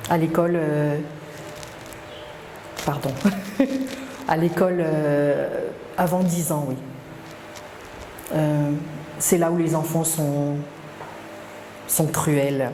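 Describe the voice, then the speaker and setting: A middle-aged woman speaks in a somewhat low, mellow voice. She talks hesitantly and reflectively, with frequent fillers and restarts. The sound is roomy with a long reverb and faint background ambience. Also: fairly even intonation, very slow, pauses often, a little hiss or hum. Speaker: interviewee. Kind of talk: personal testimony interview.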